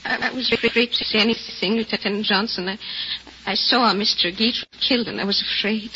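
An actress playing a frightened princess. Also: female voice, fairly high pitch, fairly even intonation, a little fast, bright, forceful tone, slight background noise. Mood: fearful. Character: frightened young woman stage magician, Austrian-born princess. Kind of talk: 1940s radio acting. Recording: lo-fi old radio broadcast with music.

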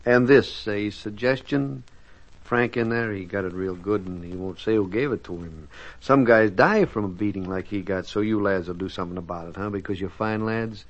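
A sly, flowery talker, played by a man, who spins his words with mocking charm. His voice sits low, and the old radio recording sounds dull and muffled. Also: moderate pace, close to an everyday voice. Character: glib smooth-talking male buddy, mocking. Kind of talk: 1950s radio drama acting.

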